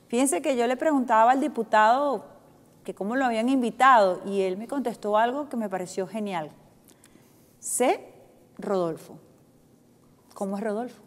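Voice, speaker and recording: Woman, TV host, somewhat high voice, friendly, reverberant hall. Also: moderate pace, long pauses. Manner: friendly, with short encouraging prompts. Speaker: TV show host. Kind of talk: interview hosting.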